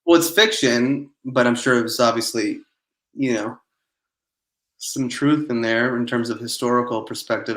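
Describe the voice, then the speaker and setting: A fast-talking man whose first language is Greek joins a podcast as a guest and chats eagerly. His voice sounds roomy and echoey, as if heard from a remote line. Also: medium pitch, fairly bright tone. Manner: eager, rapid and chatty. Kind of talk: casual podcast chat.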